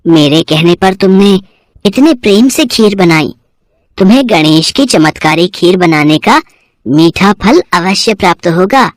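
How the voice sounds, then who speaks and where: A woman voicing a calm, sweet boy god in a devotional cartoon story, gently insistent and respectful. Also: fairly low pitch, unhurried, no room sound, clean studio sound, voice pushed down. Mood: content, blessing.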